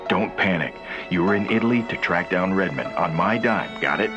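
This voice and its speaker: An actor playing a calm, playful male handler who talks over the phone in a video game. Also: deep voice, moderate pace, muffled recording, over music, lightly acted. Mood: steady. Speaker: game character. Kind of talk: video game cutscene acting.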